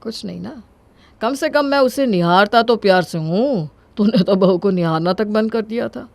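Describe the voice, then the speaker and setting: A woman's voice: medium pitch, normal speed, gravelly, dry recording, close to an everyday voice. A woman voicing a wry, disapproving older father in a story, with a dry, chiding manner. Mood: calm reproach.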